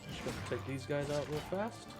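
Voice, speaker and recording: A man's voice: fairly high pitch, expressive, fairly quick. A man giving casual gameplay commentary, half talking to himself as he plays. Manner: muttering to himself while playing. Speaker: gameplay streamer. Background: game music and sounds.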